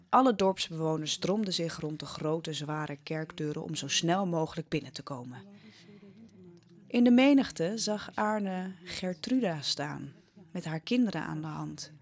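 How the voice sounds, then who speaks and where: A woman's voice: low voice, animated, unhurried. A woman narrating a historical audio drama as scripted, dramatic storytelling.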